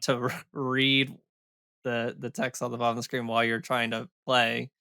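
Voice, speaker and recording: A man's voice: mid-range voice, little pitch movement, normal speed, creaky, bright, forceful tone, clear, resonant tone, dry recording. A man co-hosting a casual gaming podcast who thinks aloud hesitantly, with many fillers. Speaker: podcast co-host.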